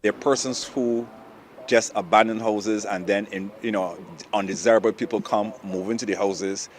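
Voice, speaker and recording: A man's voice: lowish voice, fairly even intonation, normal speed, slight background noise. A man, a fire service officer, giving casual, explanatory comments outdoors. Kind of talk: interview comments to reporters.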